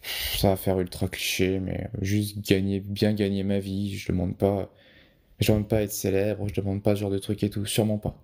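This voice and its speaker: A young man with a low, gravelly voice and a mellow, darker tone. He answers interview questions casually, often trailing off and restarting his sentences before finding his point. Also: monotone, moderate pace. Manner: hesitant, many restarts and fillers. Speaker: podcast guest, photographer.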